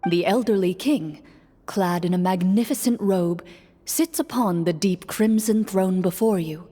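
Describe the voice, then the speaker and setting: An actress playing a game master, narrating a fantasy adventure as scripted storytelling. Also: female voice, on the low side, unhurried, warm tone. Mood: measured narration. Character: female storyteller running a fantasy tabletop adventure. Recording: long hall reverb, music underneath.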